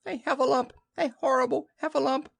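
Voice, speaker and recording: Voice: high-pitched, steady tone, fairly quick, vocal fry, voice pushed up. A storybook reader voicing a small, timid male piglet who is anxious, breathless and stammering. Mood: panicked. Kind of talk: children's storybook character reading.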